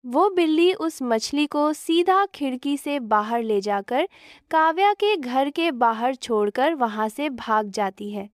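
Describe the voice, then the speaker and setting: A woman's voice: sits high, animated, slow, dry recording, studio quality. A woman narrator reading a scripted story.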